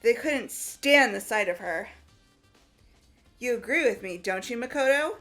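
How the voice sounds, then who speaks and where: A woman plays a teenage girl in a video game, grieving and insistent. The voice is fairly high and pleads its case with earnest emphasis over background music. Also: animated, unhurried, a bit bright. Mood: accusing. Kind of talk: amateur video game voice acting.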